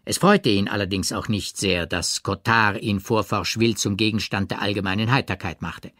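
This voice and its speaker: A man's voice: on the low side, moderate pace, a bit bright, no echo. Man reading a literary audiobook, dry sound. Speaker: audiobook narrator. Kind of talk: literary scripted narration.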